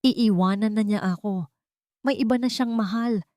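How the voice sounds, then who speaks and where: A woman's voice: medium pitch, moderate pace, darkish tone, no echo, voice pushed up, natural voice. An intense, commanding billionaire man full of anguish, voiced by a woman storyteller in a romantic audio drama. The delivery is emphatic, and the sound is dry. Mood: furious, betrayed.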